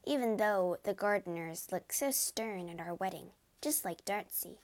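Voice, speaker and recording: A woman voicing a giddy, careless young woman who gushes breathlessly, pitched higher than her own voice. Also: lively intonation, measured pace, darkish tone. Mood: gushing.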